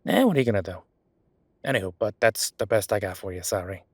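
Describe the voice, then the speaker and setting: Man playing a low-voiced, wry, chatty records clerk. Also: quick pace, husky, no room sound. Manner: rambling, wry, exaggerated feigned innocence. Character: chatty, sardonic male records clerk bending the rules. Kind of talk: roleplay audio drama.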